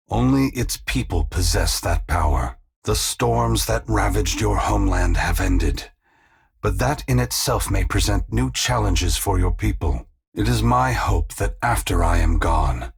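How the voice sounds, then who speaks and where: Burdened old god from a video game, super gravelly raspy deep voice, grave but kind, formal wording. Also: male voice, exaggerated voice. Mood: grave, reflective. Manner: solemn, measured, formal, gently grave. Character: solemn, compassionate male god, ancient and burdened. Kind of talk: dramatic video game dialogue.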